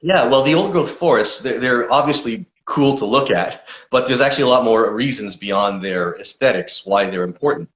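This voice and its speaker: A man's voice: medium pitch, moderate pace, few pauses, a bit bright. A man answering interview questions as a conservation campaigner, explaining steadily with frequent fillers. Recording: dull lo-fi sound.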